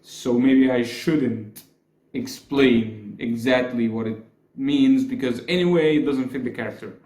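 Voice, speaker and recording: A young man with an Egyptian accent talks slowly and unhurriedly in a casual, chatty way, often hedging his points and moving his hands as he explains. His voice sits at an ordinary middle pitch. It is recorded in a small indoor room with a noticeable echo. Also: darkish tone. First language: Arabic. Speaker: YouTuber.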